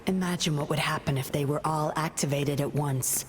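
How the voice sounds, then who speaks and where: Woman acting a low-voiced, cool game heroine. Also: fairly even intonation, a little fast, faint music behind, lightly acted. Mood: grave. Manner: terse, controlled, serious. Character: cool, resolute young female undercover operative. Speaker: voice actor in a video game. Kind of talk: dramatic game dialogue.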